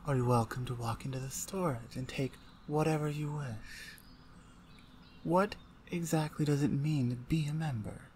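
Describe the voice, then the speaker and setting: A woman voicing a blunt, domineering orc shaman, an older sister, in a deliberate, condescending ASMR roleplay. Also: sits low, dark tone, a little hiss or hum, natural voice. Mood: exasperated, lecturing. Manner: deliberate, pointed, condescending, interrogating. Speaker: audio roleplay voice actor. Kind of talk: ASMR roleplay, one-sided dramatic dialogue.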